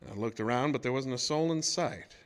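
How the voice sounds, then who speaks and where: An actor playing a rough-spoken oil rig worker telling his own eerie tale in a colloquial, old-time radio horror monologue. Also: medium pitch, brisk, close to an everyday voice. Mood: uneasy, haunted. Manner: colloquial, folksy, haunted storyteller.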